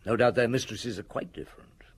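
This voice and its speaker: An actor playing a shrewd, unhurried, wry middle-aged chief inspector with a husky voice in a radio drama. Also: male voice, medium pitch, lightly acted. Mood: wry, probing.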